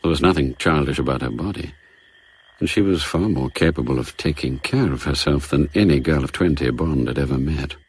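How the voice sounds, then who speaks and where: An actor narrating a spy story in a radio drama, in a measured, reflective manner. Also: male voice, extremely low pitch, slightly rough. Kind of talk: scripted narration, audio drama.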